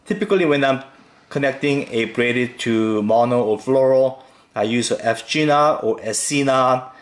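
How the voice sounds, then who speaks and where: A man hosting a fishing tutorial, calmly talking through each step with occasional fillers as he ties knots. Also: mid-range voice. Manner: slow, relaxed instructional talk with fillers. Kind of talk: step-by-step demonstration voice-over.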